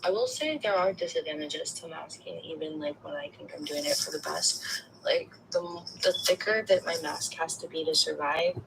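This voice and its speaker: A woman with a gravelly, fry-tinged voice opens up candidly to her reader, loose and confiding, with casual swearing. Faint background noise runs beneath her. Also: low-pitched, moderate pace. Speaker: caller getting a tarot reading. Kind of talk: casual chat.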